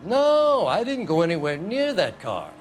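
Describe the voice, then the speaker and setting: A man's voice: high-pitched, wildly swooping pitch, a little slow, faint music behind, full character voice. An actor voicing a cranky, nosy old American man in a video game, irritable, defensive and folksy.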